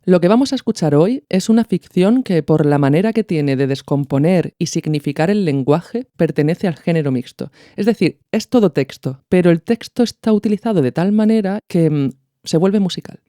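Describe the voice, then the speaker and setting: A woman's voice: lowish voice, animated, normal speed, dark tone, no echo, studio quality. A woman hosting a podcast, explaining in a conversational, didactic way. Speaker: podcast host. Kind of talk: explanatory podcast commentary on radio art.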